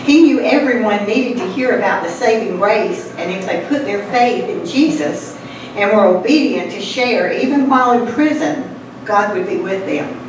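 A woman's voice: medium pitch, expressive, normal speed. A woman hosting a church puppet show, giving an evangelistic talk to an audience. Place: hall indoors, recorded from the audience. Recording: distant, echoey, noisy room recording.

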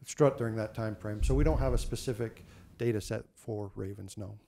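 Mellow-voiced man explaining fieldwork. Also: on the low side, fairly even intonation, a little fast, creaky, slight background noise. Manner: explanatory, with ums and repeats. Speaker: wildlife biologist. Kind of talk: answering questions at a public meeting.

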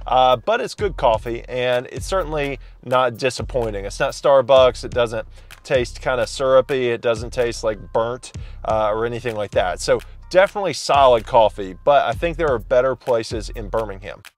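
A man in his thirties, loud and chatty, reviewing a coffee shop from inside a parked car and talking to a phone camera held at arm's length. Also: medium pitch, moderate pace, soft background music. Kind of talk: casual vlog review.

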